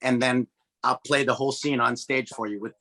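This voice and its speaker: A man, a comedian, answering interview questions in a frantic way with many quick restarts. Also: mid-range voice, fairly even intonation, creaky, pushed and bright. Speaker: comedian guest.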